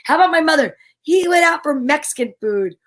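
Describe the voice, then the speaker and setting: A woman's voice: high voice, lively intonation, brisk. A woman co-hosting a comedy livestream in casual, chatty, jokey banter. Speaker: co-host of a comedy radio show livestream.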